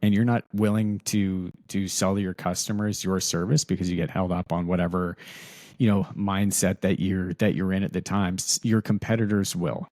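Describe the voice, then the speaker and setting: A man with a very deep voice hosting a podcast in a casual, rambling way. He repeats words as he goes. The recording sounds dry and close. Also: little pitch movement, normal speed, darkish tone, no room sound. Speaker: podcast host. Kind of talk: casual interview talk.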